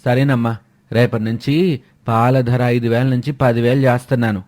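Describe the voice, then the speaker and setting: Man acting a grumbling village milk seller, medium pitch. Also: flat delivery, moderate pace, a little mellow, lightly acted. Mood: excusing. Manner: defensive, complaining. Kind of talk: acted dialogue in a moral fairy tale.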